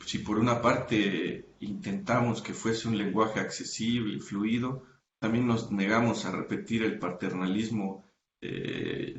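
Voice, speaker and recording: A man with a fairly low voice, speaking at length in an academic interview and pausing often to find his words. The sound is dull and roomy, with a faint hiss behind him. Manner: thoughtful, many hesitations and self-corrections. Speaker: guest researcher. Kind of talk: academic interview, explaining his book work.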